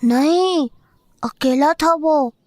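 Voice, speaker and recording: An earnest little boy played by a woman in an audio horror drama. He speaks slowly in a very high, childlike voice and insists on his story. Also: no room sound.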